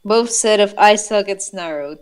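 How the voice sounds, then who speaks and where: A woman reads fan fiction aloud in a relaxed, informal style. Her voice sits at a middle pitch. She mixes plain narration with giggly asides, like chatting with a friend. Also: unhurried, smooth. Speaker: reader of fan fiction and co-host. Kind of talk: casual read-aloud with giggly banter.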